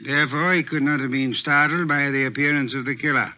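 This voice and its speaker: A man's voice: mid-range voice, fairly even intonation, fast talker, close to an everyday voice. A man playing an imperious, pedantic detective in a vintage radio mystery, with formal and precise diction. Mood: measured, authoritative. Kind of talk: 1940s-50s radio mystery acting. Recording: dull vintage radio recording.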